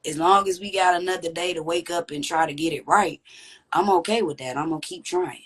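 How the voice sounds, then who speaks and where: A woman with a deep, raspy voice, speaking candidly and reflectively as a podcast guest. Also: a little fast, fairly bright tone. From Texas. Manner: reflective, earnest, with frequent "you know what I'm saying". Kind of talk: candid conversational interview.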